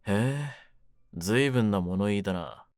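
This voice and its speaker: A cynical young mafia captor, played by a man, speaks in a low voice with rough, brooding phrasing. He sounds hardened yet wounded, in the manner of an intimate audio drama. The recording is close and dry, with soft music underneath. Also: animated, takes it slowly, no echo. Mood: bitter, irritated. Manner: rough masculine slang, taunting, brooding delivery. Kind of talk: Japanese situation-voice audio drama, ASMR.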